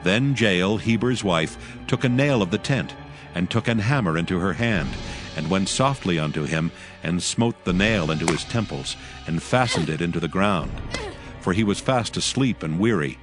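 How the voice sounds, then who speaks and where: A man narrating a dramatized scripture in archaic English, with solemn, measured storytelling. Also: sits low, expressive, over music.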